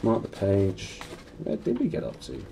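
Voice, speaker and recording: A British man reads a storybook briskly and expressively. His voice is lowish and relaxed, with very lively swings in pitch. There is faint noise from the room behind him. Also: creaky. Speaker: reader. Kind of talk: brisk storybook reading to camera. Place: small decorated room indoors.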